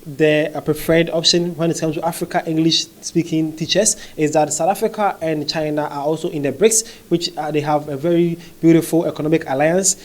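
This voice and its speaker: A man's voice: on the high side, fairly even intonation, fairly quick, few pauses. A man talking conversationally as he reads social media comments aloud and reacts to them, with frequent fillers and check-ins like "okay?". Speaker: commentary vlogger.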